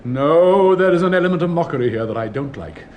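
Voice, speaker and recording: A man's voice: on the high side, expressive, brisk, darkish tone, slight background noise, natural voice. A man acting a pompous old headmaster, wildly swooping voice. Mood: stern, fussy. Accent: upper-class English. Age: older. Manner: declamatory, fussy, plummy oratory. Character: pompous, sentimental older public-school headmaster. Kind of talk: theatrical British radio play acting.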